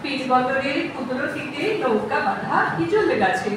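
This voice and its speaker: A woman's voice: high voice, expressive, measured pace. A woman with a West Bengal Bengali accent reciting poetry in an emphatic, rhythmic chant. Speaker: workshop participant. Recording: echoey noisy hall, distant mic.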